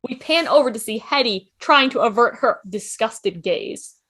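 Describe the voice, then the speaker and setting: Very high-voiced woman reading stage directions. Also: expressive, moderate pace, a bit bright, slightly rough. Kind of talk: scripted narration for a table read.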